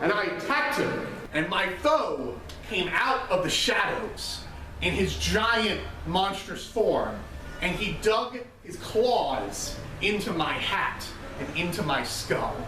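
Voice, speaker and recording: A man giving a grandiose in-character speech as a proud, defiant vampire cardinal, with dramatic, emphatic pauses. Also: sits high, fairly bright tone. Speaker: live role-play performer giving an in-character speech. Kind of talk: theatrical oration to a gathering. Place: indoor room at a live role-play gathering. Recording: roomy, distant, noisy live-event recording.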